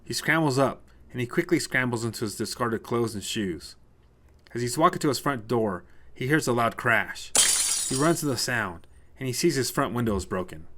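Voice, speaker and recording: Bright-voiced man narrating a story. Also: mid-range voice. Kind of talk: scripted short-story narration in present tense.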